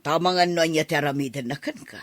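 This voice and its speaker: A woman's voice: deep voice, quick pace, no echo, clean studio sound, close to an everyday voice. A woman playing an anxious, placating middle-aged mother in an Ilocano radio drama, coaxing and worried.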